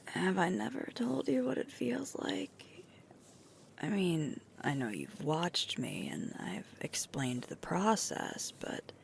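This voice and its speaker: A sweet, affectionate werewolf girlfriend acted by a woman in an intimate ASMR roleplay. Her voice is breathy and often drops to a whisper, with a very gravelly creak. The pitch roams widely as she talks in a tired, tender way. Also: full character voice. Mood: gentle, explaining.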